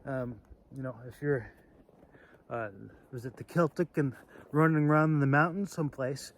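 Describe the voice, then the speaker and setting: A man hosting a casual, off-the-cuff demonstration video, talking in a halting, rambling way with phrases that trail off. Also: on the high side, fairly even intonation, poor audio. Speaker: video host demonstrating sword carry.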